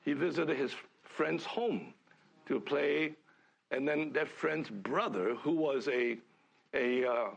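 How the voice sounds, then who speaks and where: An older man from Hong Kong giving a halting sermon to a church gathering, full of fillers and restarts. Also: medium pitch, vocal fry. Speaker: church speaker.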